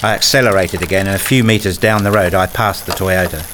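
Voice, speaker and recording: A man reading scripted first-person narration for a radio play, telling it in a measured, literary way. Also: sits low, fairly even intonation, background noise.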